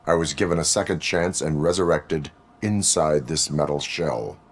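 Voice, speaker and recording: An extremely low-voiced cyborg policeman, resurrected in a metal body. He speaks in formal, clipped, machine-like sentences with stoic restraint, as a video game character. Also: normal speed. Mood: flat, stoic.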